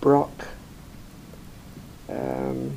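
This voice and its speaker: An older woman from England, slowly recalling her life in an interview, rambling with many pauses and fillers. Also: dark tone, a little hiss or hum, rough recording. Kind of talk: oral history recollection.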